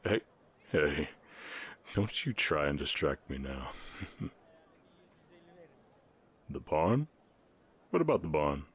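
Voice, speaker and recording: Orc cowhand played by a man: extremely low-pitched Southern drawl, gentle, reserved, halting, for intimate ASMR romance. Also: sing-song, slightly rough, heavily acted. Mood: playful, affectionate. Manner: halting, sighing, earnest. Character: gentle, reserved orc ranch hand in love. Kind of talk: intimate ASMR romance roleplay, Western setting.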